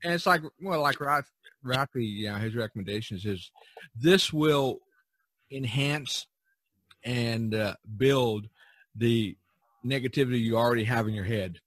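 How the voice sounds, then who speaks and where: A man with a medium-pitched voice hosts a relaxed conversation. He rambles through anecdotes with halting turns, and the sound is dry, with little room. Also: dry recording, poor audio. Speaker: podcast host. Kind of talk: casual chat.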